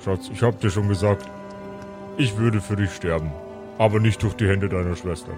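A man playing a devoted, nervous machete-wielding fellow who mutters haltingly in an improvised role-play audio story. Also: fairly low pitch, fairly even intonation, natural voice. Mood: reluctant. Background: music under the voice.

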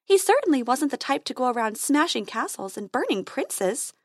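Woman doing a very high chirpy tooth fairy. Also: very animated, moderate pace, no echo, clean studio sound, full character voice. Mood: kindly.

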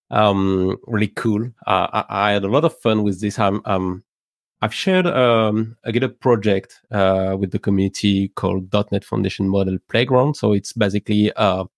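Male co-host, gravelly, low, dry sound, halting with ums. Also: no room sound. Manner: halting, many ums and restarts. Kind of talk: news segment in tech show.

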